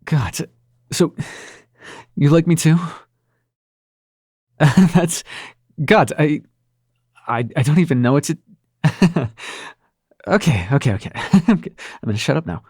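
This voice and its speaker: Man acting gravelly, flustered, smitten nightmare prince. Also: fairly high pitch, expressive, darkish tone, no room sound, clean studio sound, heavily acted. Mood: teasing, giddy. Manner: halting, stammering, sweary and sardonic. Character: male supernatural prince of nightmares, brash, flustered, smitten lover. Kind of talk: intimate audio roleplay acting.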